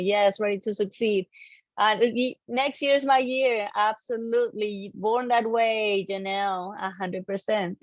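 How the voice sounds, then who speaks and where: A woman with a Colombian accent, a native Spanish speaker, giving an animated, emphatic motivational presentation to an online audience and repeating key phrases. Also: medium pitch. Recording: dull, lo-fi online audio, slightly roomy.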